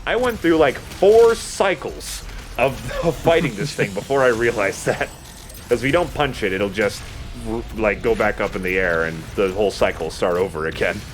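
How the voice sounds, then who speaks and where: A man's voice: lowish voice, expressive, normal speed, over music. A man giving casual, explanatory commentary on a video game in a conversational way. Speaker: let's play gamer and commentator.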